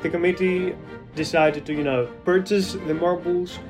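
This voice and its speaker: A man's voice: sits high, steady tone, normal speed, music in the background. A young man in his twenties from Greece, speaking English with a Greek accent in an earnest, conversational interview. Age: 20s. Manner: earnest, with frequent "you know" fillers.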